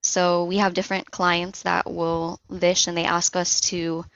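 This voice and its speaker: A woman's voice: lowish voice, little pitch movement, measured pace, a bit bright, smooth, dry recording, dull audio. A woman being interviewed, explaining her work step by step in a conversational way with examples. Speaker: interview guest, social engineering analyst.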